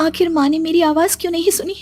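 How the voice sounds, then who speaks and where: A woman's voice: very high-pitched, steady tone, fast, a little mellow, faint music behind, pitched above the performer's own voice. Woman voicing a terrified young pregnant woman. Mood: frightened. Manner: panicked, breathless questioning. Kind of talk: animated horror story dialogue.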